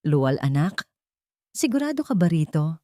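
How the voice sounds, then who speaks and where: A caring older mother voices gentle worry in a dramatic story, read by a female narrator. Her voice is a bit low, and the sound is close and dry. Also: sing-song, a little slow, dry recording. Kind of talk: storybook dialogue within narration.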